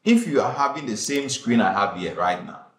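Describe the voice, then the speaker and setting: A man teaching a classroom software tutorial, giving direct instructions and repeating short commands. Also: lively intonation, moderate pace, distant mic. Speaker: design instructor. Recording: echoey room with faint rumble.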